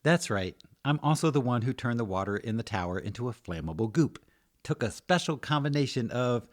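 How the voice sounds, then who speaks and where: A man's voice: mid-range voice, expressive, a little fast, voice pushed up, close to an everyday voice. A man voicing a friendly female potion maker in a fantasy story, given to eager rambling.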